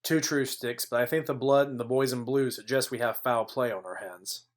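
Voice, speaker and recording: A man's voice: mid-range voice, normal speed, close to an everyday voice. A man playing a wry, hard-boiled private detective who narrates a noir audio play in a dry, sardonic way. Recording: background music under the voice.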